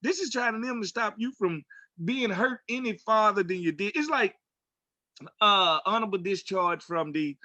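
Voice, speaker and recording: High, bright-voiced man talking sports. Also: normal speed, no echo. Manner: emphatic, colloquial, folksy storytelling. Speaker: sports talk co-host. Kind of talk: opinionated casual sports commentary.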